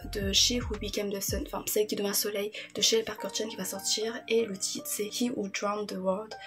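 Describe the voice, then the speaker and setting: A woman's voice: mid-range voice, level tone, fairly quick, music in the background. A young woman talking casually as a book vlogger, gushing and informal, with rambling asides. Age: young adult. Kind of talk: casual chatty reading-list vlog.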